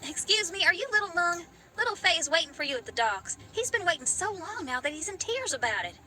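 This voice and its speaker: A woman's voice: very high-pitched, very animated, fast. A woman voicing a friendly, frank young woman in video game character dialogue. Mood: concerned.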